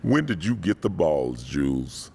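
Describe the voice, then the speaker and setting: An actor playing a proud older gang kingpin in a video game, gravelly, cool and dismissive in his street talk. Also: a bass voice, very animated, darkish tone, full character voice. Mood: defiant. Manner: cool, dismissive, unhurried authority.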